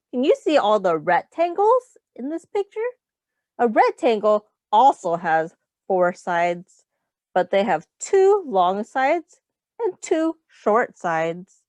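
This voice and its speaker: A woman reading a picture book aloud to young children as a teacher, explaining simply and pausing to ask questions. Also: medium pitch, darkish tone, dry recording.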